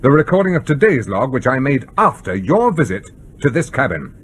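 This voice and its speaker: A man's voice: mid-range voice, sing-song, moderate pace, close to an everyday voice. A man acts out a menacing submarine commander in a radio play. His voice swings expressively while staying clipped and coldly polite, with faint noise behind it. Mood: cold menace. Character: stern, menacing male nuclear submarine commander interrogating a crewman. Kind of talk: broadcast radio drama acting.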